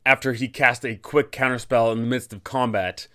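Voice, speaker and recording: A man's voice: medium pitch, fairly even intonation, normal speed, pushed and bright. Enthusiastic man giving game master advice. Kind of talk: casual scripted advice for tabletop game masters.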